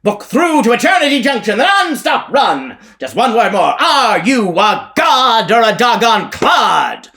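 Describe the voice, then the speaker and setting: A harsh, brash male preacher, bellowing high and hard like a fairground barker. His voice leaps wildly up and down as he hawks salvation in a storybook reading. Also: very animated, measured pace, few pauses, exaggerated voice. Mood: hectoring. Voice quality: harsh, hollering. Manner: shouted sales-pitch preaching.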